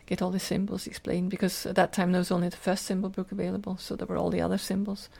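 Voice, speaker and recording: A woman's voice: lowish voice, flat delivery, quick pace, gravelly, darkish tone, husky. An older woman with a Scottish accent, telling personal stories in an earnest, detailed way as an interview guest. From Scotland. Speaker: podcast guest, teacher and lecturer.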